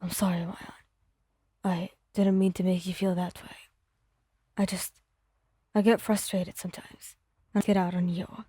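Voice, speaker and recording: A woman voicing a gruff young man who is a remorseful, tender boyfriend, speaking softly and earnestly in an ASMR fan fiction roleplay. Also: flat delivery, measured pace, long pauses, dry recording, studio quality. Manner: soft, low, earnest.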